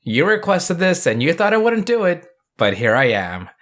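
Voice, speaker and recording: A man's voice: a little high, big pitch swings, moderate pace, fairly bright tone. Casual man narrating a video review. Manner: casual, wry asides. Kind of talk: scripted narration, review commentary.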